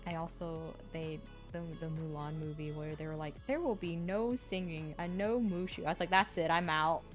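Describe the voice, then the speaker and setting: Lower-pitched woman in opinionated casual chat. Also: moderate pace, soft background music. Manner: opinionated, filler-heavy with frequent "like". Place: indoor livestream with music behind.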